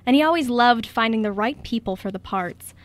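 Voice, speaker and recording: A woman's voice: a little high, lively intonation, fairly quick, no room sound. A woman giving scripted story narration for a radio play.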